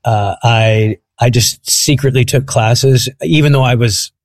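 A man's voice: mid-range voice, a little slow. A man from Egypt, a comedian guest on a podcast, telling stories in a rambling way and laughing at them. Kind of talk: casual interview chat.

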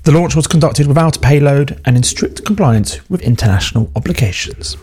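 Husky, formal male news reader. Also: on the high side, expressive, mellow. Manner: measured, formal delivery. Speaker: news presenter. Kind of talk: read news bulletin.

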